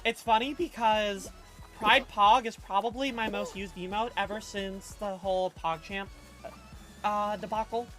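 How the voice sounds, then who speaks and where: A woman's voice: medium pitch, steady tone, a little slow, a bit bright. Chatty woman streamer, slangy and rambling. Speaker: livestreamer. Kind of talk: casual live-stream chat, reading chat aloud. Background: faint background music.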